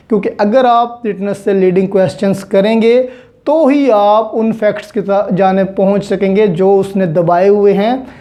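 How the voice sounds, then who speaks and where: A woman with a Pakistani accent delivers an explanatory lecture at a measured, slow pace. Her tone is mellow and relaxed, at a middling pitch. She is didactic, dropping in English terms and repeating what matters. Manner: didactic, repeats and rephrases key points. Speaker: law teacher.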